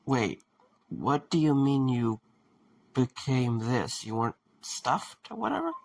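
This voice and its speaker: A man playing a stubborn, wary but caring young man in a fan audio drama, with a husky, gravelly voice. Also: mid-range voice, very animated, takes it slowly, a little mellow, exaggerated voice. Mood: wary, gentle.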